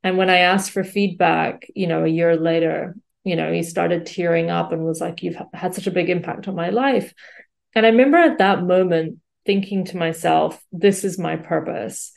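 A woman's voice: fairly low pitch, normal speed, creaky. A woman in her forties who grew up in Singapore, a guest life and career coach telling her story in a reflective way, with many fillers and restarts, over a remote call mic. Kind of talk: conversational storytelling.

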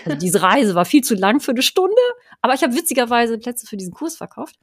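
A woman's voice: on the high side, sing-song, fast, husky, dry recording. Chatty woman with a dry sound. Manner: chatty, many fillers and tag questions. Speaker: guest, online course creator. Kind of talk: conversational interview.

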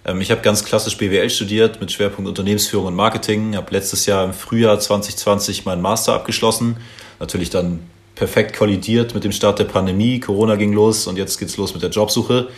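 A young man in his twenties from Hamburg co-hosting a podcast, relaxed and friendly, with fillers. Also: lowish voice, a little fast. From Hamburg, Germany. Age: 20s. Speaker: podcast co-host. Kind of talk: casual podcast chat.

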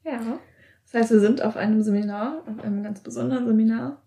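Chatty young woman host, mellow voice. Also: fairly high pitch, steady tone, fast, creaky, lo-fi sound. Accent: German. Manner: chatty, laughs easily, asks friendly follow-up questions. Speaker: podcast host. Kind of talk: casual interview. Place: wooden hut at a seminar site. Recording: roomy echo.

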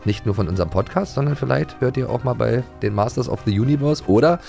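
A man's voice: medium pitch, expressive, fast talker, vocal fry, over music. A middle-aged man chatting casually and playfully as a podcast co-host, sometimes searching for words. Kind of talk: casual chat.

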